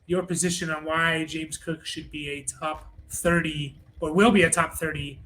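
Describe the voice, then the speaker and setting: A man's voice: fairly high pitch, fairly even intonation, normal speed, sharp tone, a little hiss or hum. A man hosting a fantasy football show in a casual, conversational sports rankings style.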